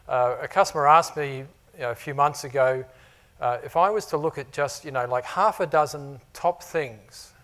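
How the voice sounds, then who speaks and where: A man's voice: medium pitch, fairly bright tone. A middle-aged man with a New Zealand accent mixed with Australian, giving a conversational conference talk to customers, with many "you know"s and "uh"s. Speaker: technology architect presenting to customers. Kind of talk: conference presentation. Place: conference room before a live audience.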